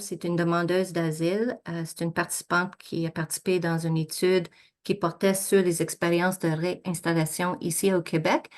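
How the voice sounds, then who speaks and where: Low-voiced woman presenting research findings. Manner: measured, frequent "euh" fillers and self-corrections. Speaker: researcher presenting study results. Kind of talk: academic presentation walking through slides.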